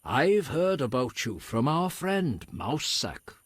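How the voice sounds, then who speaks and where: A man playing a serene, courteous druid in a video game, gentle, formal and unhurried. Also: mid-range voice, very animated, breathy edge, no room sound, exaggerated voice. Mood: welcoming. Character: serene, courteous male druid elder, lover of nature. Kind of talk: video game dialogue acting.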